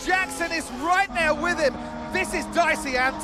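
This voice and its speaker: A man as an excited motor racing commentator, calling the action urgently in a video game. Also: very high-pitched, moderate pace, over loud music. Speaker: lead race commentator. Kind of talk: live sports commentary.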